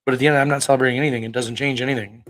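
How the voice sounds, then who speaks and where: A man's voice: mid-range voice, fairly even intonation, very fast, a bit bright, rough recording. Combative profane preacher in his thirties. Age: 30s. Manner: combative, profane, rhetorical questions. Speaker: online preacher. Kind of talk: livestream talk answering chat.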